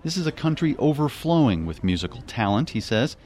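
A man narrating a radio news report in a measured, scripted delivery. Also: mid-range voice, very animated, darkish tone, slight background noise.

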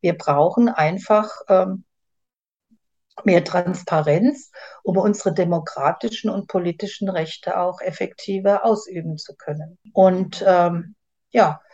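A woman answering an interview question haltingly, with many fillers. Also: lowish voice, mellow. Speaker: interview guest. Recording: dull lo-fi sound.

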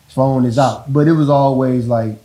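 A man with a deep voice talking casually about parenting, rambling and often checking in with the listener. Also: normal speed, poor audio. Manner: relaxed, rambling, repeats "you know what I'm saying". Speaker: father in a couple interview.

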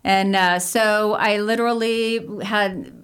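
A woman guest in a casual interview, telling animated stories and laughing often. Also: medium pitch, slow pace, clean tone. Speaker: guest, singer and laughter workshop leader.

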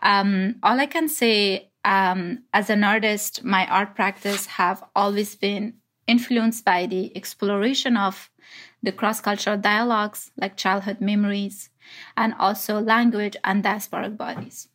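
A woman in her thirties from Afghanistan, an artist answering interview questions thoughtfully with many pauses. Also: medium pitch, fairly even intonation, fairly bright tone.

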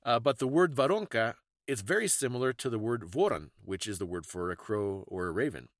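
A man's voice: mid-range voice, lively intonation, fairly quick, dry recording, studio quality. A man co-hosting a podcast, casually discussing folklore and stories in a thoughtful, explanatory way. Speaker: podcast co-host.

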